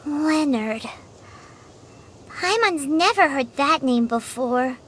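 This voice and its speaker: A woman voicing a small, chatty fairy companion in a video game, squeaky and childlike, referring to herself in the third person. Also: lively intonation, slow, a bit bright, slight background noise, exaggerated voice. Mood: surprised, puzzled. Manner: speaks of self in third person.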